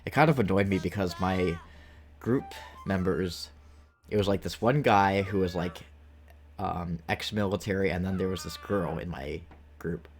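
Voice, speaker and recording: A man's voice: low voice, normal speed. A man in his late twenties chatting casually and rambling through anecdotes as he streams a game to viewers. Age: late 20s. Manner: rambling, anecdotal, acts out quoted remarks. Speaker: video game livestreamer. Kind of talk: casual chat with viewers while gaming. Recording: music behind the voice.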